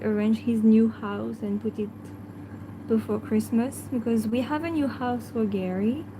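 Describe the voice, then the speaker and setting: A woman with a French accent, a native French speaker, hosting a casual livestream and answering viewers in a hesitant way full of fillers, sometimes talking softly to her pet. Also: fairly high pitch, background noise.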